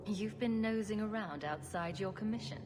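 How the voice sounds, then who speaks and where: An actress playing a sarcastic young rogue adventurer in a fantasy game, with a dry, teasing manner. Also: female voice, mid-range voice, moderate pace, natural voice. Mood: wry. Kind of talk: fantasy video game acting. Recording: loud music behind the voice.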